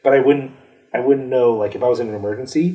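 A man co-hosting a podcast at an everyday middle pitch. He rambles through his thoughts with plenty of fillers and pokes fun at himself. His tone stays loose and casual. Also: fairly even intonation, fast talker, dark tone, a little hiss or hum, poor audio. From United States. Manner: rambling, self-deprecating, full of fillers. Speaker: podcast co-host. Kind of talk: casual banter.

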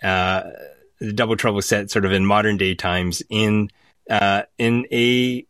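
A man's voice: lowish voice, steady tone, a little slow, a bit bright, no echo, studio quality. A man from Alberta with a Canadian accent, explaining conversationally as a guest writer in an interview. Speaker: guest writer of audio drama.

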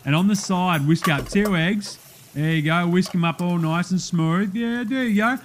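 Man putting on a high-pitched brash Aussie bloke cook. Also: normal speed, a little hiss or hum, natural voice. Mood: matey, instructive. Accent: Australian. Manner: blokey, slangy, casual instructions. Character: brash matey Aussie bloke hosting a spoof cooking show. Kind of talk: parody TV cooking demonstration.

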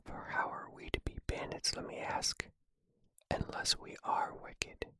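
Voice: a little slow, natural voice. A reader voicing a proud, theatrical thin bandit man from Italy in a grandiose, courtly whisper for a storybook. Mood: wounded, reproachful.

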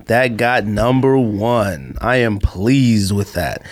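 A man's voice: on the low side, slow. Man bantering as a podcast host. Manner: teasing, opinionated. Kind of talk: casual sneaker podcast banter.

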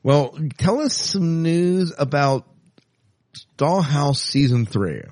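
A man's voice: on the high side, expressive, slow, darkish tone, no room sound, studio quality. A man co-hosting a podcast, chatting casually, thinking aloud and reading out news in a conversational way. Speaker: podcast co-host.

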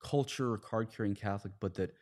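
Low-voiced priest in podcast discussion. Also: male voice, quick pace, a little mellow. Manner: earnest, explains with plain analogies. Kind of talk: conversational religious discussion.

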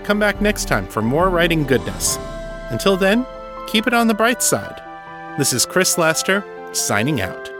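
A man hosting a solo podcast, reading and answering listener letters in an articulate, measured, explanatory way. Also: sits high, very animated, over music. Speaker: podcast host and novelist.

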